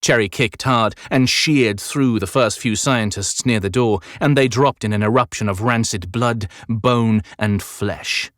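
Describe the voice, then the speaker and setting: A man's voice: lowish voice, a little slow, hardly any pauses, fairly bright tone, dry recording, studio quality. A man reading dramatic scripted narration as an audiobook narrator. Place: dry recording studio.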